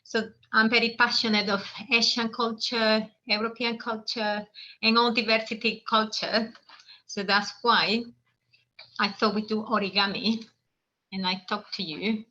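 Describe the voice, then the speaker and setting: Bright-voiced woman hosting a craft lesson. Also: mid-range voice, highs cut off. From El Salvador. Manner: friendly, explanatory, meandering with restarts. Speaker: workshop host. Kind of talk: live online craft lesson.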